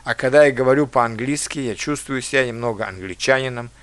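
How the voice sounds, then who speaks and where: Male language teacher, lecture delivery, measured, mid-pitched voice, dry close recording. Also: fairly bright tone, dry recording. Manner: measured, reads foreign phrases slowly and carefully.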